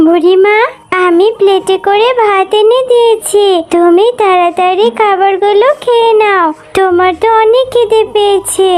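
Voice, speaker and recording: A woman's voice: very high-pitched, fairly even intonation, moderate pace, a little mellow. A woman voicing a sweet, eager young animal girl in a children's cartoon, earnest and pleading in a childlike way. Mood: kind, eager. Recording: music behind the voice.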